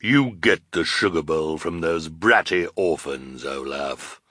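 A man's voice: rumbling low, takes it slowly, sharp tone, breathy edge, no echo, clean studio sound, exaggerated voice. A man voicing an imperious, commanding, sinister villainess in an audiobook story.

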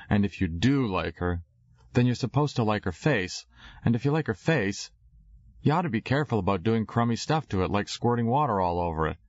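A man's voice: low-pitched, no room sound, highs cut off. A man reading an audiobook in a slangy, wry first-person storytelling voice. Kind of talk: audiobook narration.

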